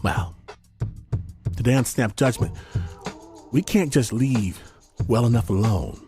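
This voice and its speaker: A man hosting a storytelling show, narrating from a script in a theatrical way with deliberate dramatic pauses. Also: mid-range voice, animated, dark tone. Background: music bed.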